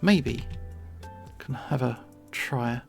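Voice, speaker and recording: Creaky-voiced southeast English streamer, mid pitch. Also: very slow, faint music behind. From southern England. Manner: clearly enunciated, pronounces T's; playful, chuckles often. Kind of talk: casual livestream chat while drawing.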